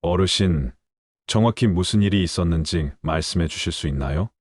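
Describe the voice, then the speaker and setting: A man's voice: sits low, moderate pace, a little mellow, husky, no room sound, clean studio sound. A middle-aged man voicing a serious, polite police officer in an audio drama.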